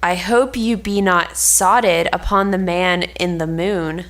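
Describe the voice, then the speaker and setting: A woman's voice: lowish voice, steady tone, slow pace, fairly bright tone. A woman playing a sensible, reproving male friend in a stage play, reading formal archaic lines. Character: sensible, scolding male friend of a lovesick nobleman.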